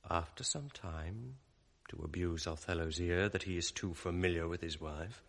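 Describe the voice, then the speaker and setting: An actor playing a scheming, sardonic male ensign in a 1980s radio drama, mocking and sly, sinking to a hushed mutter when plotting. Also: sits low, moderate pace, gravelly.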